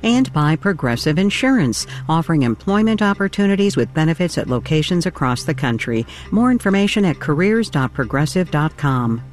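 A man reading scripted sponsor messages in a bright, lilting style. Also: a little high, big pitch swings, a little fast, few pauses, soft background music. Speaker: sponsor announcer.

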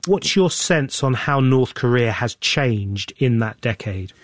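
A male interviewer asking brief, measured questions. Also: mid-range voice, animated, no echo, studio quality. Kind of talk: broadcast interview.

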